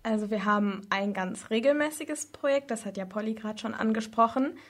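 A teenage girl giving spontaneous interview answers as a student representative, pausing often with fillers in long winding sentences. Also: a little high. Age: teens.

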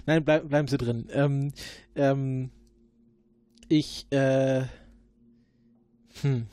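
A man improvising questions and deductions in a role-play investigation, haltingly repeating words as he thinks. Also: mid-range voice, fairly even intonation, a little mellow, dry recording. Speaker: player questioning suspects in an investigation game.

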